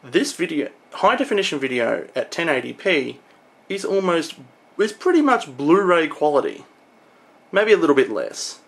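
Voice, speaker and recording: A man's voice: fairly high pitch, sing-song, normal speed, vocal fry, husky. A young man giving an informal, explanatory product walkthrough on camera as a video presenter. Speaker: video presenter showing computer parts.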